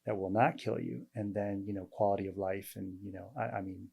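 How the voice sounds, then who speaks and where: A male doctor hosting an interview, talking in a halting way with many fillers and restarts. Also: lowish voice, little pitch movement, gravelly, muffled recording. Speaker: host, weight loss doctor. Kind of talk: casual interview chat.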